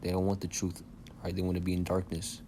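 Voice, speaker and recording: A young man preaching casually from scripture with a soft voice, checking in with "okay?" and "all right?" after nearly every phrase. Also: low voice, completely flat delivery, fast, background noise. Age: young adult. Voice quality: soft-spoken by his own account. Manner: casual and insistent, often says "man". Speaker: bible teacher.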